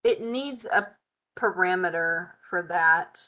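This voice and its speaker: A woman hosting a live software tutorial, thinking aloud as she works, her voice tired and hoarse, chatty with a Southern "y'all". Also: fairly low pitch, takes it slowly, poor audio. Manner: chatty, Southern "y'all", muttering while working.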